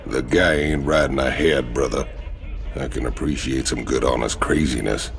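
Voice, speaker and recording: A gravelly hulking outlaw. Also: male voice, very deep voice, steady tone, normal speed. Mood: wary. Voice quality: gravelly, drawling.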